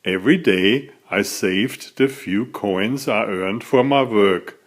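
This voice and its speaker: A man reading a children's story aloud as a narrator, in a deliberate, dramatic storytelling manner. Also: lowish voice. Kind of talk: expressive scripted storybook narration.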